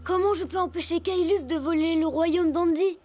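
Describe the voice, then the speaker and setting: A woman's voice: extremely high pitch, fairly even intonation, moderate pace, darkish tone. A woman voicing an eager young boy elephant in a cartoon, upbeat and a little bossy as the acting chief. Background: cartoon music score.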